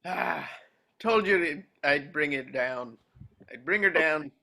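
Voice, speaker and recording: A man's voice: fairly high pitch, animated, takes it slowly, creaky, bright, forceful tone, heavily acted, low-quality recording. A man playing a cocky, gleeful teenage boy wizard in a screenplay read-through. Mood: smug.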